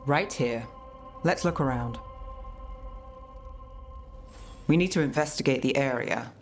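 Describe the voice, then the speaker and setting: A woman's voice: rumbling low, normal speed. A woman playing a wry, world-weary private detective in a fantasy game, with a dry, sardonic way of talking. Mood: sardonic, businesslike. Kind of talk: cinematic fantasy game dialogue. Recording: music behind the voice.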